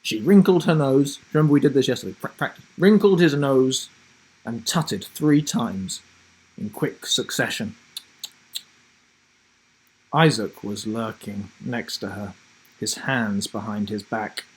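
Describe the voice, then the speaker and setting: A man chatting and reading a story to children as host of a live broadcast, speaking deliberately in short emphatic phrases. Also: mid-range voice, breathy edge, poor audio. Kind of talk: friendly instructional chat and storybook reading for children.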